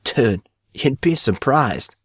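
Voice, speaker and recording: A man's voice: mid-range voice, lively intonation, slow, slightly rough, no room sound, studio quality, pitched above the performer's own voice. A man playing a laid-back, slangy young schoolboy with a teasing manner in an audiobook. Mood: wry. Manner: casual, slangy.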